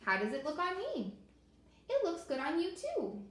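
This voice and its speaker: A woman voicing gentle, earnest turtles from a children's storybook in simple, measured dialogue. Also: very high voice, wildly swooping pitch, voice pushed up, exaggerated voice. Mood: polite, earnest. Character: gentle storybook turtles sharing one found hat. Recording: echoey room with faint noise.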